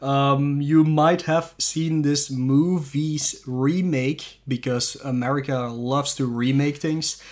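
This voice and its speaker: A man's voice: medium pitch, takes it slowly, hardly any pauses. Man chatting casually on a podcast. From Belgium. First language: Dutch. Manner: relaxed, laughs often, trailing fillers. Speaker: podcast co-host.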